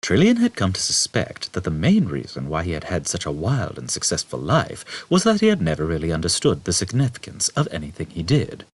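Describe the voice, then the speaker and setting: Man reading a story, very animated. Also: sits low, brisk, few pauses, breathy edge. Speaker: audiobook reader. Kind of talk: scripted story narration.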